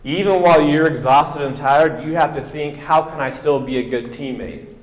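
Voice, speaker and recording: A man's voice: fairly high pitch, moderate pace. A man preaching a sermon with emphatic delivery, acting out quoted voices as he goes. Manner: emphatic, acts out quoted voices, rising to near-shouting. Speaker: pastor. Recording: echoey hall with long reverb.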